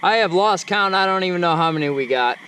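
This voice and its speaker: A fairly high-voiced man chats excitedly while reeling in fish outdoors at night. He is recorded on an action camera that sometimes sits a few steps away, with faint crickets and frogs behind him. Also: fast talker, a little hiss or hum. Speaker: fishing vlogger. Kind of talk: casual outdoor commentary. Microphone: action camera, sometimes far.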